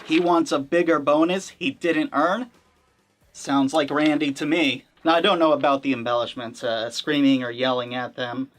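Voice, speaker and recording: A man's voice: fairly high pitch, steady tone, normal speed, a bit bright. Wry male gaming commentator. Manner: conversational, wry, hedging with fillers. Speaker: gaming channel host. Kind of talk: casual commentary.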